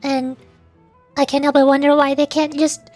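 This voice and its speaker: A woman with a small, squeaky, girlish voice, chatting casually and earnestly to viewers on a livestream. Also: flat delivery, normal speed, darkish tone, faint music behind. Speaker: streamer and VTuber, voice actor.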